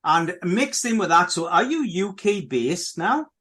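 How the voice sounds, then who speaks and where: A man's voice: high-pitched, animated, a little slow, a bit bright, husky. A middle-aged man hosting a radio podcast, chatting casually and repeatedly addressing his listeners. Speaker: podcast host.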